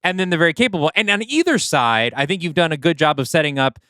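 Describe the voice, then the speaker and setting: A man with a slightly high, smooth, clear voice, co-hosting a podcast. He picks apart characters in a chatty, analytical way, full of fillers. The sound is dry and close. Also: animated, fast, sharp tone, no room sound. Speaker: podcast co-host. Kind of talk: casual podcast discussion.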